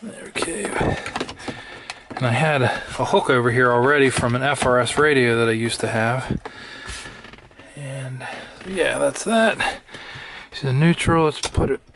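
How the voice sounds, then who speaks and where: A man's voice: medium pitch, a little slow. A man giving casual, matter-of-fact hands-on narration, thinking aloud as he works inside a car. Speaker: vlogger installing a car CB radio. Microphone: handheld camera microphone. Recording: clear background noise, clicks.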